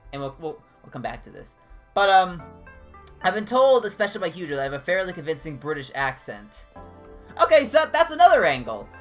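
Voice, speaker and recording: Animated man rambling on stream. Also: a little fast, soft background music. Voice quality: higher-pitched male voice. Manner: animated, discursive, jumping between tangents. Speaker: game streamer. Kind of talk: casual rambling livestream chat during gameplay.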